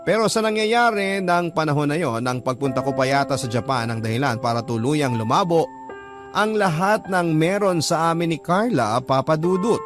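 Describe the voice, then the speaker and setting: A man's voice: on the high side, animated, normal speed, over music. Man narrating a confessional love story. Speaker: letter narrator.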